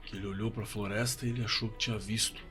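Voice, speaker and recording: An actor playing a shaken Brazilian man giving first-person horror testimony in an audio drama, halting, repeating words and swearing colloquially. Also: fairly low pitch, steady tone, natural voice. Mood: uneasy, haunted. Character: shaken man recounting a terrifying camping encounter. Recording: suspense music under the voice.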